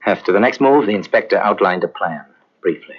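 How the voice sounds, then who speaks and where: A man narrating a detective mystery in old-time radio style, grave and measured. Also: on the low side, expressive, breathy edge. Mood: solemn. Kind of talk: 1950s radio drama narration. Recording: dull old radio transcription.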